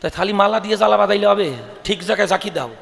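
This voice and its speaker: A man telling comic folk stories on stage in an animated style. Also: sits high, racing, vocal fry. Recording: echoey hall sound system.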